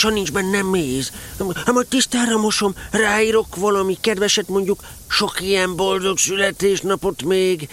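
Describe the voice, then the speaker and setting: A man's voice: high voice, fairly bright tone. A man playing a naive, good-hearted little toy bear in a children's audio drama, earnest and hesitant in his bumbling way.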